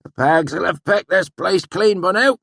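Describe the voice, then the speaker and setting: A blustery old country swineherd. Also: male voice, sits high, moderate pace, vocal fry, fairly bright tone, no echo, studio quality, pitched above the performer's own voice, full character voice. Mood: hollering. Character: irritable old rustic swineherd man.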